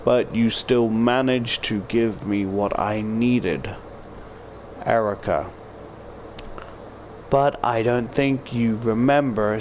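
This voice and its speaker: A man voicing a shy, earnest female transfer student in a visual novel, reading her letter haltingly and sincerely. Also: on the low side, darkish tone, slight background noise.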